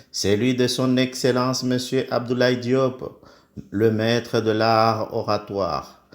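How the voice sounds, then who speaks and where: A man's voice: mid-range voice, reverberant. A man from the Comoros reciting a solemn praise poem in an oratorical, declamatory way, in short emphatic phrases. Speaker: poet.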